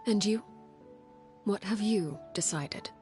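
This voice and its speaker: A woman playing a stern, composed leader of a secret family in a video game, speaking in a measured, formal, authoritative way. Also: medium pitch, darkish tone, faint music behind, close to an everyday voice. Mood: cool, measured. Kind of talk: scripted video game dialogue.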